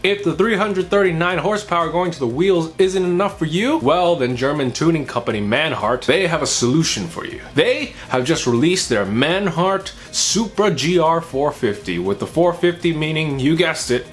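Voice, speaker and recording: A man's voice: on the high side, animated, normal speed, hardly any pauses. A young American man hosting a weekly car news roundup in an upbeat, casual way with jokey asides. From United States. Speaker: host.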